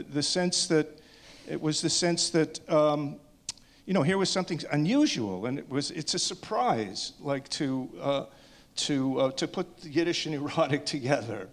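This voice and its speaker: Halting man addressing an audience. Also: fairly high pitch. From Canada. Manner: halting, often stammering over restarts. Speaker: filmmaker introducing a screening. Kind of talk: informal unscripted speech to an audience.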